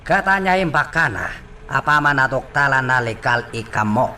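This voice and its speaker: A man's voice: mid-range voice, expressive, normal speed, pushed and bright, distant mic, faint music behind. An actor playing a domineering male boss in an Ilocano radio drama. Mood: stern, displeased. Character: domineering male boss scolding his underlings.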